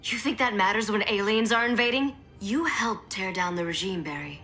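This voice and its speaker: An actress playing a calm female doctor and steady mentor in a video game cutscene, reassuring but firm. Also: mid-range voice, normal speed, faint music behind, lightly acted. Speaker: scientist at a base.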